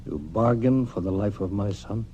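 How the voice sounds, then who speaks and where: Actor as a stern, low, mellow-voiced chief. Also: male voice, normal speed, natural voice. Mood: stern, wary. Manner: terse broken English, formal, solemn. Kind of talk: old-time radio Western acting. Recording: noisy old-time radio recording.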